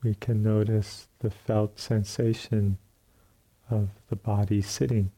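A man leading a guided meditation as a teacher, speaking in gentle, unhurried phrases with long pauses between them. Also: on the low side, little pitch movement. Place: retreat center meditation hall.

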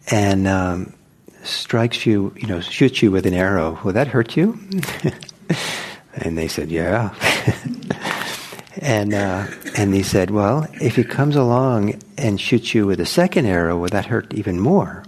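Older man, meditation teacher, soft-spoken, gentle, unhurried, husky. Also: low voice. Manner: gentle, unhurried, with many restarts. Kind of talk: dharma talk with Q&A. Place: meditation hall.

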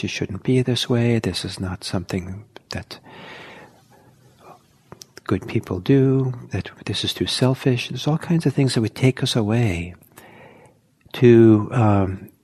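A man teaching meditation in a soft, slow, halting way, with many pauses. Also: on the low side, slightly rough. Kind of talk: guided meditation talk.

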